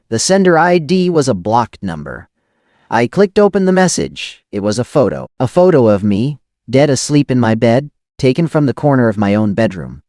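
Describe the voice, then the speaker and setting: A man playing a cynical, rattled forger who narrates a noir thriller audio drama in dramatic, clipped phrases with weighty pauses. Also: mid-range voice, darkish tone, no echo, clean studio sound. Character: hardboiled, rattled forger of false evidence, cynical first-person narrator.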